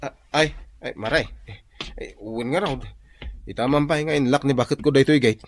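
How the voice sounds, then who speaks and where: A man's voice: medium pitch, brisk, a little hiss or hum, lightly acted. A man with an Ilocano accent playing a hapless, nervous drinking husband who whines and pleads in a comedy radio drama. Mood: pleading, whining. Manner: exclaiming, whiny, exaggerated comic delivery.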